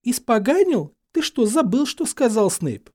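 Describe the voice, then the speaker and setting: A man's voice: sits high, wildly swooping pitch, fairly quick, darkish tone, voice pushed up. A man voicing a distraught, bossy and anxious teenage witch girl in an audiobook, whimpering and exclaiming. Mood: alarmed. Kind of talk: dramatised fan fiction audiobook.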